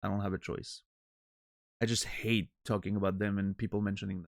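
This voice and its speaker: A man's voice: fairly low pitch, level tone, fairly quick, vocal fry, dry recording, studio quality. A man streaming and chatting casually with his viewers, joking and rambling with many restarts. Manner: rambling, self-interrupting, joking. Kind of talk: casual chat with live chat.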